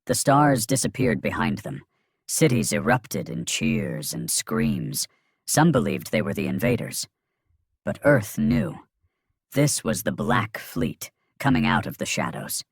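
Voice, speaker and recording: A man narrates dramatic science fiction with a gravelly, husky voice. His delivery is grave and ominous, landing short emphatic phrases with animated swings in pitch. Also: a little slow, no room sound, clean studio sound. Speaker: story narrator. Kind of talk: dramatic scripted sci-fi story narration.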